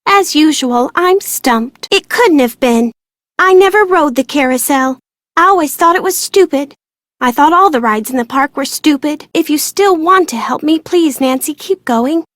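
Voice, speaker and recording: A woman's voice: sits high, animated, moderate pace, fairly bright tone, no room sound. An actress playing a glum, guarded young woman in a video game, plain-spoken and self-deprecating. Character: withdrawn, glum young woman haunted by childhood loss.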